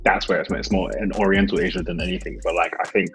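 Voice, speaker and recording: Fast-talking low-voiced man. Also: little pitch movement, fairly bright tone, faint music behind. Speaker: podcast co-host. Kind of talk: casual group debate about films.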